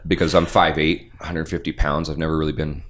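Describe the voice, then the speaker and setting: A young man with a deep bass-baritone voice, telling stories animatedly as a guest in a casual podcast interview. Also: fairly quick. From Canada. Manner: animated storyteller, acts out quotes. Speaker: voice actor guest.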